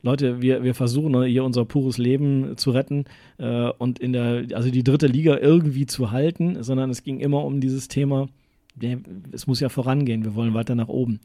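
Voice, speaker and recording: Guy from westphalia, podcast cohost, warm tone, dry close sound, lots of uhs and "ne?". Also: mid-range voice, fairly even intonation, a little fast, no room sound. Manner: rambling, hesitant, frequent tag questions. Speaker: podcast co-host. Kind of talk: casual chat.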